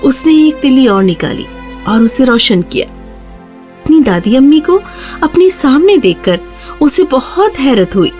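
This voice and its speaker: A woman's voice: high voice, big pitch swings, a little mellow, soft background music. A woman narrator reading a scripted children's fairy tale in a storytelling style.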